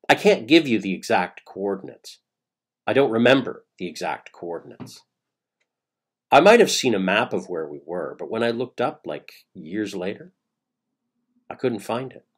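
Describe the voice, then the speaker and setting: A middle-aged man with a Canadian accent reading a dramatic first-person monologue in a theatrical, suspenseful way with deliberate pauses. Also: on the low side. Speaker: playwright reading his own play. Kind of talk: dramatic autobiographical monologue, storytelling.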